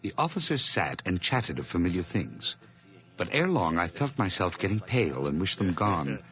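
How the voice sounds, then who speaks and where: A man's voice: deep voice, very animated, normal speed, a bit bright. An actor playing a nervous, over-polite hired man hiding guilt, stammering and effusive in an old radio horror drama. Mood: haunted narration.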